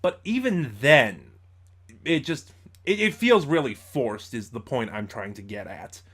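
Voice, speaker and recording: A man giving an informal spoken review as host, in a halting way, stumbling over words and correcting himself. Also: medium pitch, sing-song, a bit bright, breathy edge.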